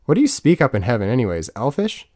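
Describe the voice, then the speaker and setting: A cynical young male atheist, acted by a man reading an audiobook, casual, sarcastic and easily exasperated. The voice is mid-pitched and swings about, recorded dry. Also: huge pitch swings, fast, darkish tone, no echo.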